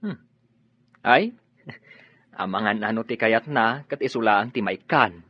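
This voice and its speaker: A man's voice: on the low side, lively intonation, takes it slowly, slightly rough, dry recording, heavily acted. A man playing a deferential, gossipy employee talking with his lady boss in a radio drama. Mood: helpful, speculating. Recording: dull lo-fi radio sound.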